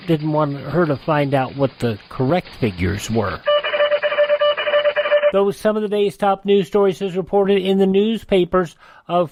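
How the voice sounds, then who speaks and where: A man's voice: a little high, noisy background. A man hosting a radio show, reading scripted narration in an announcer's style.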